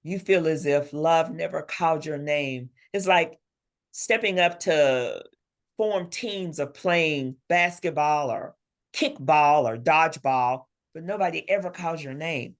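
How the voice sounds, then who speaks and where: Female author as interview guest, slightly low-pitched, conversational, animated storyteller who voices imagined dialogue. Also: measured pace. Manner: expressive, acts out imagined dialogue.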